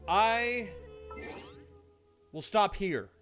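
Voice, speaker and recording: A man's voice: high voice, lively intonation, extremely slow, fairly bright tone. A man doing casual live game-stream commentary, rambling playfully with dry asides. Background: music.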